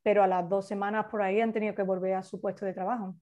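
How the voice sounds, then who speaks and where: A woman's voice: mid-range voice, flat delivery, very fast, a little mellow, dull audio. A woman leading a workshop, explaining at length in an informal way, with many hesitations and restarts, over a video call. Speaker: workshop facilitator.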